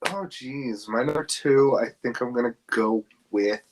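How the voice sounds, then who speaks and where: A man's voice: medium pitch, a little slow, a little hiss or hum. A man hosting a casual, joking group podcast, talking in a hesitant, rambling way. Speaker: podcast host.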